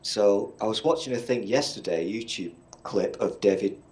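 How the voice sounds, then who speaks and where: A middle-aged man with a Northern English accent and a low-pitched voice. He chats in a loose, rambling way, blunt and quick to swear as he tells his stories. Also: darkish tone. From Northern England. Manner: blunt, swears freely, meandering storytelling. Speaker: podcast co-host. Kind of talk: casual chat.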